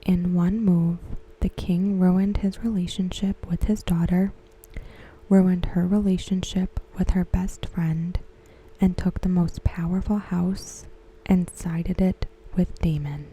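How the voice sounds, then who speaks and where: A woman giving ASMR commentary in a hushed, gentle, breathy, whispery voice. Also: fairly low pitch, steady tone, low-quality recording. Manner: hushed, gentle, unhurried delivery. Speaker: ASMR content creator reviewing a TV episode. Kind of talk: ASMR soft-spoken commentary.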